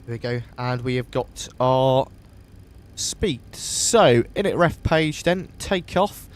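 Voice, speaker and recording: A man with a sniffly voice from a coming cold, calmly thinking aloud step by step as he streams a flight simulator session. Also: mid-range voice, slow. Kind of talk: casual live walkthrough of a flight simulator.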